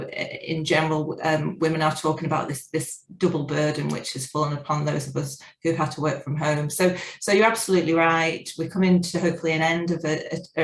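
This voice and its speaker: A woman in her forties, not local to Northern Ireland, answering interview questions in a measured way with frequent restarts. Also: deep voice, roomy sound, low-quality recording. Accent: non-local, unplaced. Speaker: guest, business organisation director.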